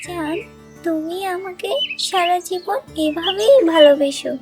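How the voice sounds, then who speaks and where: A very high-pitched, childlike little wife bird from a sentimental cartoon, voiced by a woman. She is loving yet possessive and tight-fisted, and music plays clearly behind her. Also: unhurried. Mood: adoring. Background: music and birdsong.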